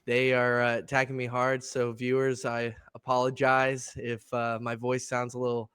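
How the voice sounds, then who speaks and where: A young adult man co-hosting a casual podcast, congested from allergies so his voice sounds slightly gravelly and nasal, easygoing with lots of fillers and laughs. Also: medium pitch, completely flat delivery, normal speed, a bit bright, no echo, studio quality. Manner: easygoing, laughs often, many fillers and restarts. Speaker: podcast co-host. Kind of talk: casual chat.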